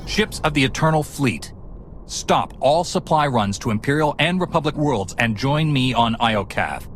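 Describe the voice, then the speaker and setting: An actor playing a resolute, principled military commander in a sci-fi game, giving clipped orders with authority. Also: male voice, medium pitch, lively intonation, normal speed, fairly bright tone, a little hiss or hum, lightly acted. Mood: commanding, determined. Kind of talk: cinematic video game acting. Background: music.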